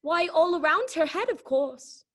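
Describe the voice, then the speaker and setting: A woman's voice: very high voice, lively intonation, measured pace. A woman voicing a snooty, blunt talking garden flower in a children's read-aloud play, condescending and snippy in manner.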